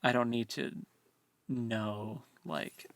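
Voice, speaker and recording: A man's voice: mid-range voice, steady tone, slow pace, a bit bright, dry recording. Dry-recorded man in casual book chat. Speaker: co-host of a book discussion podcast.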